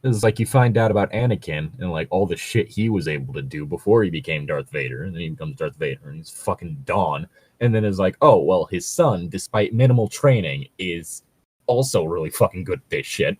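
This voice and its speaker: Male podcast co-host, fairly deep, halting and sweary off-the-cuff commentary, very little room sound. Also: lively intonation, slightly rough, no room sound. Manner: hesitant, filler-heavy, casually sweary. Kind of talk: casual chat commentary.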